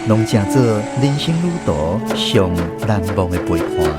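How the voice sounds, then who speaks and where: A man's voice: on the low side, animated, unhurried. A man with a Taiwanese accent narrating a scripted first-person story for a radio drama. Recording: music behind the voice. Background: music.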